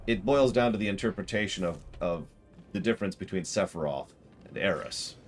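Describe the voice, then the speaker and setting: A man's voice: deep voice, lively intonation, a little fast. A man doing casual live stream commentary on a game, explaining his ideas at length and thinking aloud. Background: game music.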